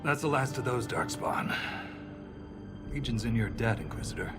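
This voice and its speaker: An actor playing a gruff, blunt, sardonic dwarven warrior in a video game cutscene. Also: male voice, mid-range voice, normal speed, lightly acted. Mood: grim. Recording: loud game music behind. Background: game music.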